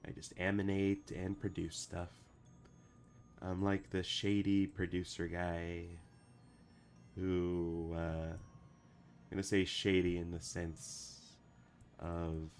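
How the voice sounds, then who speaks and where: A man with a low voice talks slowly and loosely, as if chatting with viewers between comments. He rambles, restarts and fills gaps with "uh" and "um." Music plays clearly in the background. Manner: rambling, relaxed, with many fillers and restarts. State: recovering from a week of sickness. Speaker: animator and channel producer. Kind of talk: casual livestream chat reading comments.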